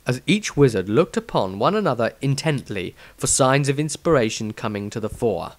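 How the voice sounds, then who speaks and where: A man reading his own fantasy story aloud in measured, even narration. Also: mid-range voice, no room sound.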